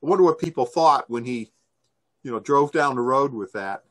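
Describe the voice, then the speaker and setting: Older man, medium pitch, informal presenter, conversational delivery, video call microphone. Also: animated, moderate pace. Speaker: presenter giving a briefing. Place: small room indoors, on a video call.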